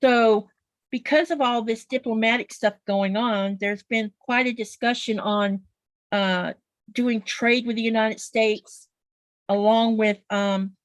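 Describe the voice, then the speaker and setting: A woman's voice: on the high side, fairly even intonation, takes it slowly, no echo. A woman with a Southern US accent, chatting casually on a livestream in an explanatory, folksy way. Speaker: quilter guest on a livestream.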